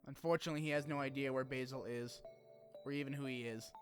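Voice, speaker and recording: A man hosting a video essay, reading a scripted game story recap with informal, animated asides and some swearing. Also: medium pitch, fast talker. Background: music under the voice.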